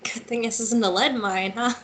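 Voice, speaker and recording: A woman's voice: fairly high pitch, steady tone, moderate pace, fairly bright tone, natural voice. An actress playing a determined, teasing and wistful young woman in a fantasy romance table read.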